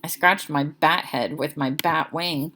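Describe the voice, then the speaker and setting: A woman's voice: deep voice, measured pace, pushed and bright, slight background noise. A woman reading a children's chapter book aloud as narrator, expressively.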